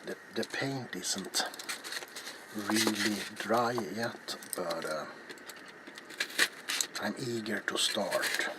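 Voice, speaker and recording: A middle-aged man with a lowish voice talks very slowly and softly, as an ASMR crafting host. He is hushed and often drops into a whisper. The recording has very loud background noise. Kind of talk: soft-spoken ASMR craft narration. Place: small cluttered indoor workshop.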